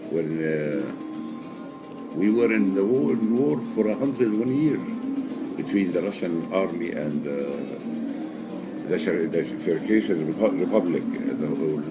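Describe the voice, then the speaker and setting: An older man talks about himself in a fairly low voice, pausing often with fillers and starting his sentences over. Music plays clearly in the background of the recording. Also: animated, poor audio. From Egypt. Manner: halting, many fillers and restarts. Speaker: poker player guest. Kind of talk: casual interview answers. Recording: music playing behind.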